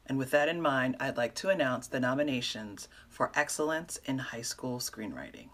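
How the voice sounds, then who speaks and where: A woman's voice: rumbling low, animated, normal speed, fairly bright tone. A middle-aged woman presenting awards in a scripted announcement style, reading out nominees and winners. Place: indoors, in front of a curtain.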